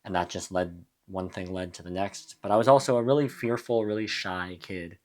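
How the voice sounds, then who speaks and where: Young guy w a really deep low voice, musician guest, slow thoughtful chat, lots of restarts. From USA (New Mexico). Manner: reflective, halting, frequent restarts. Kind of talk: casual interview chat.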